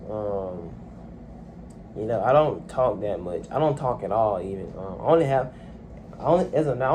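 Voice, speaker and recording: A young man talking casually and haltingly into a phone at home, with a soft, low-key delivery and lots of fillers. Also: slight background noise. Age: young adult. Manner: soft-spoken, hesitant, many fillers. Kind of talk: casual personal monologue. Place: home, alone in a room.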